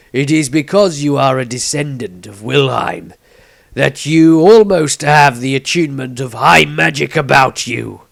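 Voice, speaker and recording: Man voicing a slow, gravelly old wizard patriarch. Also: mid-range voice, a bit bright, dry recording. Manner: solemn, deliberate, dramatic pauses. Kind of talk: fantasy audiobook character reading.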